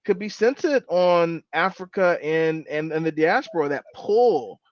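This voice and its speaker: A man on a book club panel, talking in an animated way with halting restarts and playful retellings. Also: on the high side, dry recording. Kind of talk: casual panel discussion. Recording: dull, dry lo-fi sound.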